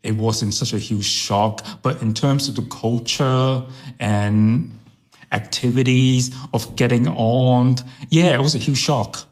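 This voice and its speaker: A man's voice: mid-range voice, fairly even intonation, takes it slowly, darkish tone. A middle-aged man from Hong Kong raised in New York, whose first language is Chinese, chatting as a podcast guest and repeating phrases for emphasis. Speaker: podcast guest, fragrance brand director. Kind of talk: casual interview chat.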